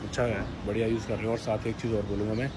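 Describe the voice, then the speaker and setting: A man's voice: on the low side, little pitch movement, rapid-fire. Aggrieved young man, noisy recording. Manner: aggrieved, repetitive, insistent. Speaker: vlogger. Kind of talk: unscripted personal address to viewers.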